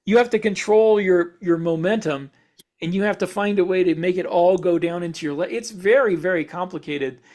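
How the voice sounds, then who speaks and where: Man, fairly high voice, casual podcast talk, explanatory, wandering sentences, author and co-host. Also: a little fast, darkish tone.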